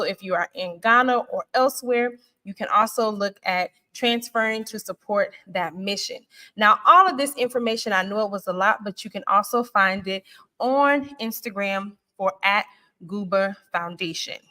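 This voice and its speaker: Host, female, American accent, cheerful and talkative, crisp bright tone. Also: mid-range voice. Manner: upbeat, chatty, laughs often. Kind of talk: online interview intro and chat.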